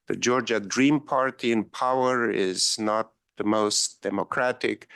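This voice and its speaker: A man's voice: on the low side, creaky, fairly bright tone, no echo. A man from Romania giving a policy panel talk, with frequent ums and wry asides. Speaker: panel speaker.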